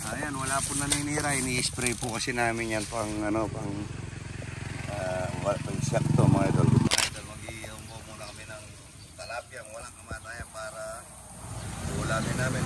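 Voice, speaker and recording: Chatty man vlogging on a noisy farm. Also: mid-range voice. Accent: Kapampangan. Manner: chatty, keeps calling viewers idol. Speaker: vlogger showing his farm. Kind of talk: casual walk-and-talk vlog. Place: outdoors on a farm. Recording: loud outdoor noise.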